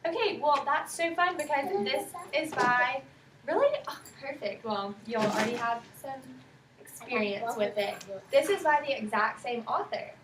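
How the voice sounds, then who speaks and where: A woman's voice: high voice, animated, moderate pace. A woman reading a picture book aloud to young children, asking simple questions and prompting and affirming their answers. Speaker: student teacher reading aloud to kindergarten children. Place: echoey indoor room with children. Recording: roomy, noisy, distant microphone.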